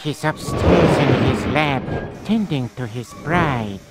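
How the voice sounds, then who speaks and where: An actor playing a gloomy, hulking monster butler in a cartoon game, speaking in a formal, servile and droll way. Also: male voice, on the high side, animated, takes it slowly, loud music behind the voice. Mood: dutifully gloomy.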